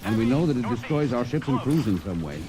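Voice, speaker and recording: A man's voice: mid-range voice, sing-song, close to an everyday voice. Man acting commanding old-time radio spaceship captain. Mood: firm, defiant. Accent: American. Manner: commanding, brisk, plain-spoken. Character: gruff, confident veteran spaceship captain. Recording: vintage radio broadcast, music behind.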